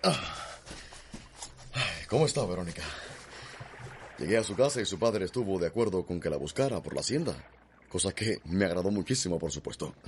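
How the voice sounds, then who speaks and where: Eager, flirtatious colonial suitor, radio drama; very low, lively-pitched voice. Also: male voice, brisk, long pauses, a little hiss or hum. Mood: eager, courting. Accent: Cuban.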